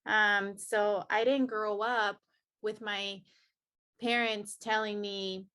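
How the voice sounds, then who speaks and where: A woman's voice: medium pitch, little pitch movement, slow pace, sharp tone. A woman from El Salvador, a podcast guest, earnestly and reflectively telling her personal story of healing. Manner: earnest and reflective, many restarts.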